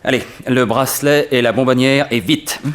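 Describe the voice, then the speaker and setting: An actor playing a suave, mocking gentleman thief who turns bullying, in a theatrical 1960s French radio serial style. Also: medium pitch, steady tone, moderate pace, lightly acted. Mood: amused, mocking.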